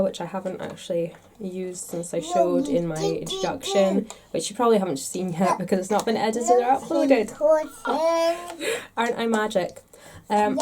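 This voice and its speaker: A woman vlogger talking casually to the camera in a chatty, rambling, self-deprecating way, a little congested with a stuffed-up nose. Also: mid-range voice. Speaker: makeup vlogger.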